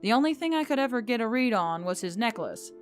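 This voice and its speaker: A woman voicing a wry, weary male boss of a magical tech office in an audiobook story, speaking in a measured, murmuring way. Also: a little high, animated, soft background music, lightly acted. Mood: pondering. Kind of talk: audiobook character dialogue.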